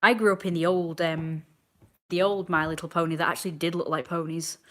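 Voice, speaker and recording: A woman's voice: lowish voice, steady tone, fairly quick, fairly bright tone. A woman in her twenties chatting casually on a live stream, rambling and answering viewers' comments as they come in. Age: 20s.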